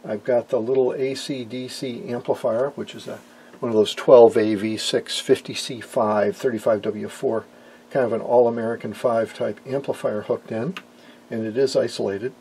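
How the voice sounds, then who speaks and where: A man with noticeable vocal fry talks through an informal hands-on demonstration. He explains like a hobbyist presenter, hesitating and pausing mid-phrase. His pitch sits in a middle range, over a faint background hum. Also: fairly even intonation, mellow, low-quality recording. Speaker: amateur radio hobbyist and presenter.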